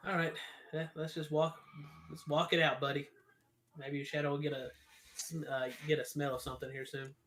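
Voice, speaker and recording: A man with a voice a little higher than average plays a tabletop role-playing game. He talks casually, as among friends, thinking out his moves in loose everyday speech. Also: flat delivery, a little fast, long pauses, a bit bright, a little hiss or hum.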